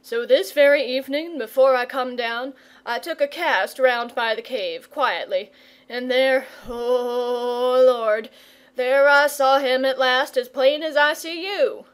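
A woman's voice: fairly high pitch, normal speed, few pauses, close to an everyday voice. A woman voicing a nervous, folksy older shepherd father with rustic dialect in a storybook reading. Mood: awed, frightened. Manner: folksy dialect speech, plain-spoken.

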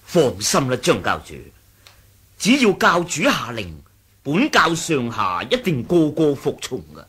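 A man's voice: on the high side, very animated, measured pace, a bit bright, husky, exaggerated voice. A man with a Hong Kong Cantonese accent playing a reassuring, pondering follower of a sect leader in a radio drama. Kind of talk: 1980s radio drama acting.

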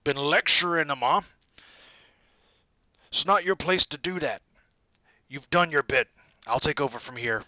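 A man voicing a big, fierce male groundskeeper with a booming, rustic way of talking. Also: a little fast, pushed and bright, dry recording, highs cut off. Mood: gruff, stern. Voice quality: booming, broad rustic dialect.

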